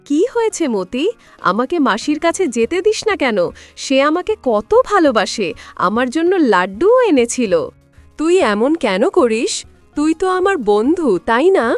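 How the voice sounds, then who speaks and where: A woman's voice: high-pitched, sing-song, normal speed, dry recording, faint music behind. A woman voicing an innocent, affectionate little village girl in a cartoon, speaking in an earnest, plaintive manner. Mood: puzzled, pleading. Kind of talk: Bengali animated moral tale acting.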